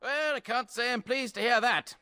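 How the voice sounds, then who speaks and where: Sly, sharp-voiced bandit boss. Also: male voice, very high-pitched, normal speed, clear, resonant tone. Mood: mocking. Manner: glib, sly, theatrical. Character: smug, mocking bandit leader with false courtesy. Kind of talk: fantasy game acting.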